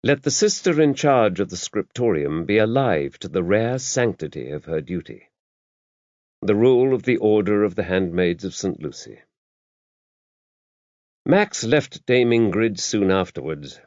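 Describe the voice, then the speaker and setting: Male audiobook narrator, lowish voice, varied intonation, dry close sound. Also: big pitch swings, unhurried, darkish tone, dry recording, lo-fi sound. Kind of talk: scripted narration of a mystery novel.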